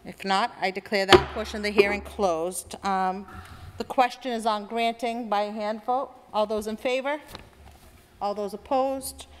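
A woman's voice: medium pitch, fairly even intonation, long reverb tail, slight background noise. Woman chairing a council meeting. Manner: brisk, procedural. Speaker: council president. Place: council chamber.

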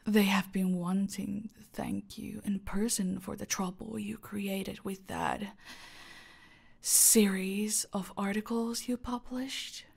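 A woman playing a gloating, menacing female cartel boss in an audio roleplay, commanding and taunting, often dropping to a breathy murmur. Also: mid-range voice, steady tone, slow pace, exaggerated voice. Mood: smug, menacing.

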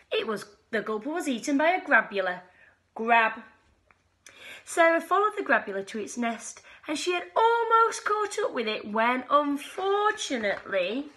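A woman in her thirties reading a children's picture book aloud expressively, with dramatic pauses and drawn-out key words. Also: sits high, a bit bright. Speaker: storyteller reading a bedtime picture book for schoolchildren. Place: small living room at home, indoors.

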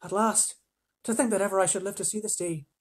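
Man voicing a kindly, husky old she-beaver. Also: sits high, fairly even intonation, fast talker, pitched above the performer's own voice. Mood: delighted, tender. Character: kindly old female beaver, homely housewife.

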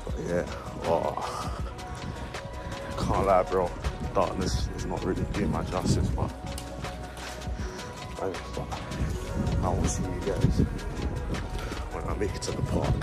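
A young man with a London accent chatting in slang to his phone camera while out jogging, with lively asides. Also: low-pitched, slow pace, pauses often, loud music behind the voice, rough recording. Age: young adult. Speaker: vlogger. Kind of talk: casual vlog talk to camera. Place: outdoors in a park and streets at dawn, also a bedroom. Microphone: phone held at arm's length.

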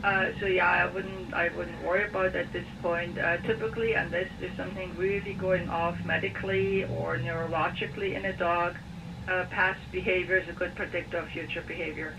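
Distant and noisy, like radio played in a room, a woman gives informal advice as a phone-in expert. She speaks evenly, in a measured and explanatory way. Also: lowish voice, level tone, vocal fry. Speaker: dog expert guest on a phone-in.

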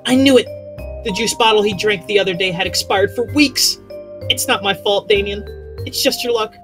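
A woman voicing a cheeky, scheming, excitable young wife in a comic dub, theatrical and exclamatory. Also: medium pitch, moderate pace, music in the background, close to an everyday voice. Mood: smug relief.

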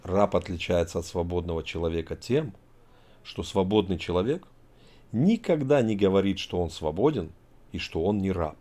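A man's voice: deep voice, animated, moderate pace, warm tone. A middle-aged man from Tajikistan hosting a heated video-chat argument, lecturing and emphatic, talking over a video call from an indoor room. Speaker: host.

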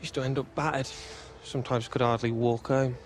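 A man's voice: medium pitch, steady tone, fairly quick, slight background noise, lightly acted. A man playing a young footballer with a British accent, joking but guarded and downcast, in a game cutscene. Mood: bitter, subdued. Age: young adult. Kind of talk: naturalistic game cutscene acting.

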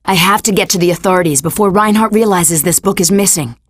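A woman's voice: on the low side, fast talker, no room sound, clean studio sound, lightly acted. An actress playing an earnest, prim woman in a video game cutscene, speaking a little hesitantly. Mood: nervous, urgent. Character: earnest, prim female ally visiting an asylum inmate.

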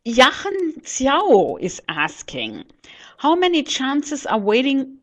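A woman's voice: high voice, wildly swooping pitch, takes it slowly. A middle-aged non-native English-speaking woman answering webinar questions as an adviser, hesitant and searching for words, on a headset mic. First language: not English. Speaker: education adviser, webinar presenter. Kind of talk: online presentation answering audience questions. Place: indoors in an office, on a webcam. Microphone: headset microphone.

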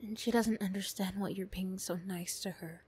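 A woman narrating an audio roleplay in a soft, hushed ASMR storytelling style. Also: medium pitch, fairly even intonation, normal speed, slight background noise.

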